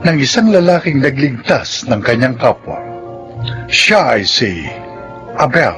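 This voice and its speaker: A man playing a solemn storyteller, giving a deliberate, theatrical scripted introduction to a radio drama. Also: medium pitch, sing-song. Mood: solemn, dramatic. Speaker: narrator. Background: loud dramatic music.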